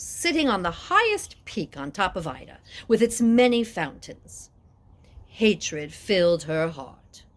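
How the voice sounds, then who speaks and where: A woman reading epic poetry aloud as dramatic narration, with deliberate, expressive phrasing. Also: mid-range voice, a little hiss or hum. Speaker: reader of an epic poem.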